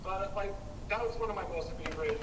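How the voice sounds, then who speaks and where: A man's voice: high voice, a little fast. A male musician, a guest in an interview, chatting casually and rambling with many fillers and restarts. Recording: echoey hall, far from mic, noisy.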